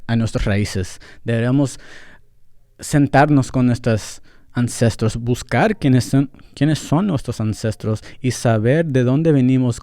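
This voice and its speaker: A young adult man with a mellow, warm voice speaks into a close mic in a dry, dead-sounding space. He talks candidly and off the cuff, mixing English with Central American–accented Spanish, with fillers here and there. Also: mid-range voice, no echo. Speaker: podcast host. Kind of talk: casual solo podcast monologue.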